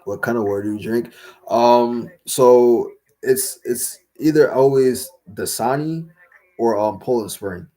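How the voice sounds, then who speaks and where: A young man hosting a casual livestream Q&A, rambling with lots of fillers and slang, his voice a little raspy from talking all day. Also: medium pitch, unhurried, warm tone. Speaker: livestream host answering chat.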